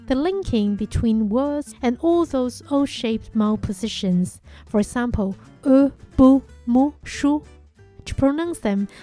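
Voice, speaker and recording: A young woman whose first language is Chinese, a podcast host giving a calm, measured, reflective monologue. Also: a little high.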